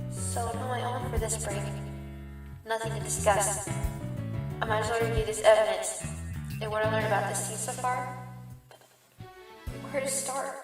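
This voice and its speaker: Rabbit cop-turned-lawyer, female performer: high voice, earnest, stressed, audio drama, roomy distant sound. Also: fairly even intonation, unhurried, long pauses, close to an everyday voice. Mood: stressed, musing. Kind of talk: fan audio drama acting. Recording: echoey, off mic, music underneath.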